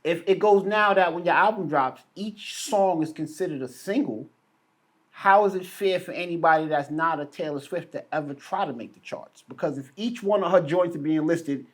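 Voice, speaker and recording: A man's voice: on the high side, moderate pace. An American man co-hosting a podcast, chatting casually in slangy, incredulous speech. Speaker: podcast co-host.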